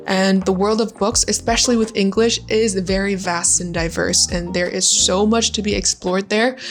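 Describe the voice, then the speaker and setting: Chatty young woman English tutor. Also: medium pitch. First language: Vietnamese. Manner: friendly, chatty, frequent "like" fillers. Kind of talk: casual YouTube lesson talk.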